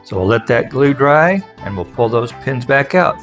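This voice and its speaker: A man's voice: mid-range voice, expressive, moderate pace, fairly bright tone, faint music behind. A man giving casual, plain-spoken how-to narration as a craftsman, explaining things step by step in a folksy way. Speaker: furniture restorer and instructor.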